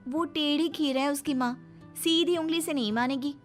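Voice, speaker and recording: Woman acting a young bride-to-be, fairly high voice, headstrong, earnest, insistent, comic storybook dialogue, music behind. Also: moderate pace, natural voice. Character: headstrong young bride-to-be who defies custom.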